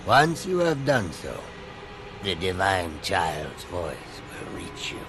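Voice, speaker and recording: An actor playing a cryptic, solemn old temple spirit in a video game, speaking theatrically. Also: male voice, sits low, big pitch swings, takes it slowly, noisy background, full character voice. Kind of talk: dramatic video game acting.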